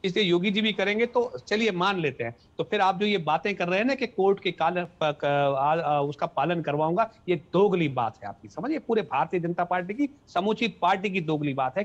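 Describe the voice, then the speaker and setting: Male debate panelist, high voice, combative, muffled remote line. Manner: argumentative, repeats words to hold the floor. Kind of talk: heated TV debate argument.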